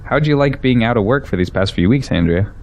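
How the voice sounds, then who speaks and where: A man's voice: medium pitch, expressive, brisk, close to an everyday voice. A young man playing a pragmatic, defensive arguer in an audio drama, with an argumentative delivery. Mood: challenging. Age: young adult.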